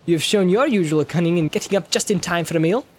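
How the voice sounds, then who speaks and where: A man's voice: high-pitched, quick pace, slightly rough, pitched above the performer's own voice. A man reading aloud, voicing a young male hobbit who is boisterous and teasing.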